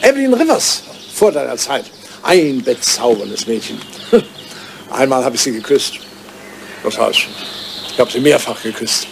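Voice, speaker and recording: An older man, an actor playing an excitable, wistful old lord in love, theatrical and impulsive, in a radio comedy drama. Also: medium pitch, unhurried, gravelly, long pauses. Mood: wistful, reminiscing. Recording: old radio play recording, faint noise.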